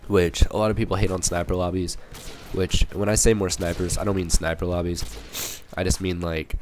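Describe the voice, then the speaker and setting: A young man with a cold, hoarse and a little raspy, rambling through casual gameplay commentary with many fillers and restarts. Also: sits low, flat delivery, normal speed, music in the background. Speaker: gaming channel commentator.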